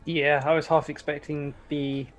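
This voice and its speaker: A man co-hosting a podcast in casual chat, with a halting, dry way of talking. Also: fairly high pitch, flat delivery, faint music behind, rough recording. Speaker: podcast co-host.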